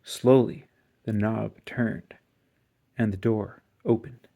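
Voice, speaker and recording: A storybook reader giving an expressive children's read-aloud narration. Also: mid-range voice, slow pace, gravelly, a little hiss or hum.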